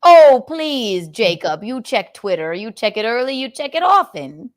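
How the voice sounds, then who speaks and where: A woman's voice: fairly high pitch, very animated, a little slow. A woman in her thirties hosting a casual call-in podcast, teasing and affectionate with callers, a little tired. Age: 30s. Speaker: podcast host. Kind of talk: casual call-in show chat.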